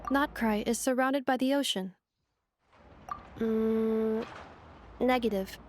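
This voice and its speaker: A woman voicing a polite, analytical robotic girl guide in a video game, speaking in a calm, measured, formal, matter-of-fact way. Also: a little high, slight background noise. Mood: analytical, flat. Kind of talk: video game dialogue, informative exposition.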